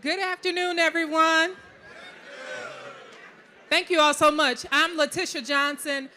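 A middle-aged woman from Detroit, a city council member, speaking in an earnest, measured way on a conference panel into a handheld mic. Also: sits high, flat delivery, sharp tone, slight background noise. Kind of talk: panel discussion answers. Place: conference stage, indoors.

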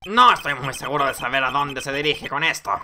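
A woman's voice: very deep voice, brisk, background noise, pitched below the performer's own voice. A woman voicing a stern, irritable elderly male judge in a video game courtroom. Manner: authoritative, scolding.

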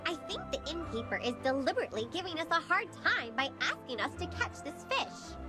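A woman's voice: high-pitched, very animated, a little fast. A woman voicing a spirited, proud young adventurer in a game, speaking with indignant feistiness over background music.

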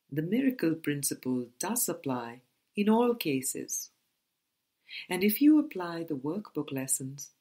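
A woman reading a book aloud as an audiobook narrator, in measured, deliberate phrases. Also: low-pitched, darkish tone. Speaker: audiobook reader.

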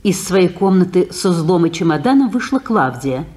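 A woman narrating stage directions for a 1950s radio play in a measured storytelling manner. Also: on the low side, very animated, darkish tone.

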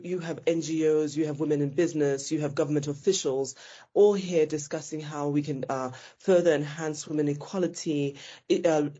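A woman speaks in a warm, relaxed tone and answers interview questions with care. She often backs up and rephrases mid-sentence. The audio is a little dull and muffled. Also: low-pitched. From Zambia. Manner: thoughtful, self-correcting, with frequent restarts. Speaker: business founder being interviewed.

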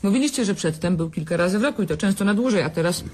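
A woman's voice: medium pitch, animated, very fast, a little mellow, a little hiss or hum, close to an everyday voice. An actress playing a curious, musing woman in a family radio drama. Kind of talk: dramatic radio play acting.

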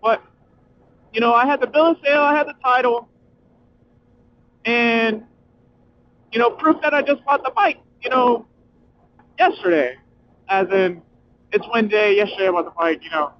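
A young man chatting casually and excitably as a motorcycle vlogger, swearing and using slang as he rambles while riding. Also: extremely high pitch, normal speed, pauses often, no echo. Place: outdoors, riding a motorcycle at night. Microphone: helmet communicator mic inside helmet. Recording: muffled helmet intercom mic, clear background noise.

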